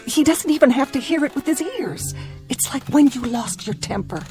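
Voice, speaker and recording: A woman's voice: high voice, expressive, moderate pace, a little mellow, soft background music. An actress playing an anxious small-town mother in a radio drama, folksy and insistent, forcing cheerfulness out of fear. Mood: anxious, pleading. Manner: folksy, insistent, repeating reassurances.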